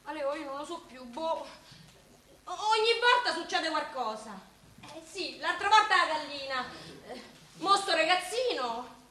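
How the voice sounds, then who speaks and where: A high, bright-voiced Roman working-class woman, tender and plain-spoken. She speaks in dialect, as in a naturalistic radio play. The sound is roomy, with faint noise behind. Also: expressive, measured pace, heavily acted. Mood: troubled, hesitant. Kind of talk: naturalistic stage-style radio drama acting in dialect.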